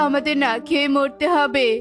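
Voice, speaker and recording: An earnest father bird from a cartoon fable, played by a woman, high in pitch and flat in melody. He speaks seriously and pleadingly to the others over music. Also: moderate pace, natural voice. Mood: timid pleading. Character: earnest hungry father bird rallying the flock.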